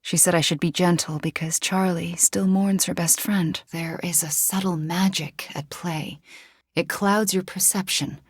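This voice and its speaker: A young superheroine sorceress for a video game. Her voice sits a little low and is soft and breathy. She sounds intimate and earnest, haunted yet wry, recorded very dry. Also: steady tone, unhurried, no room sound. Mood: somber, reflective.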